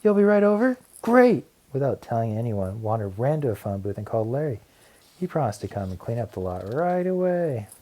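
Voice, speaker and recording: A man reads a children's audiobook aloud in a mellow, soft-edged voice. His pitch swings widely as he tells the story in an expressive, animated way. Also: fairly quick, low-quality recording. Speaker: audiobook reader.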